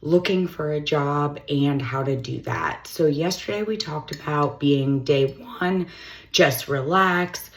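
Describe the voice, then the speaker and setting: A middle-aged woman recruiter with a slightly hoarse voice, giving direct, matter-of-fact job-search advice straight to the camera. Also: low-pitched, slow.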